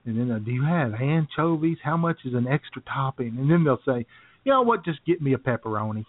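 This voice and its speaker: A man with a mid-range voice co-hosts a casual talk show. He trades comic anecdotes in relaxed banter and chuckles often. The sound is thin and narrow, like a phone line. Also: mid-range voice, brisk. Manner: chuckles often while talking.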